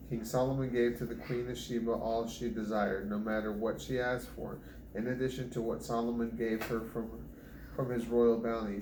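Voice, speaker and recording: A man reading a Bible chapter aloud for his family, steady but often stumbling and restarting words, recorded at home. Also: mid-range voice. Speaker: Bible reader. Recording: echoey room with background noise.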